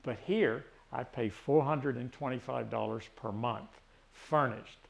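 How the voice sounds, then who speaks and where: A man's voice: medium pitch, a little slow, creaky. A man in his sixties with a Tennessee Southern accent, chatting casually and rambling with many restarts. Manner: rambling, frequent restarts and fillers. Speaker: retiree vlogger. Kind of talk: casual chat.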